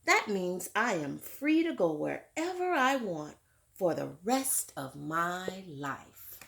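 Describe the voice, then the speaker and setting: A woman's voice: on the low side, big pitch swings, takes it slowly, vocal fry, fairly bright tone, full character voice. A woman reading a picture book aloud in the voice of a proud, dreamy eight-year-old girl telling her own story.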